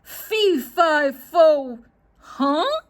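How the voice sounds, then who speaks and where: A woman voicing a huge, hungry female giant from a children's storybook, chanting in rhymes with a gruff, booming delivery. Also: sing-song, very slow, exaggerated voice. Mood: puzzled.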